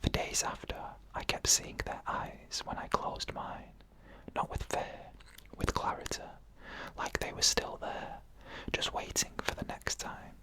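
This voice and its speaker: A narrator telling a scary story in a breathy, unvoiced ASMR whisper, in short phrases with frequent pauses. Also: bright, forceful tone.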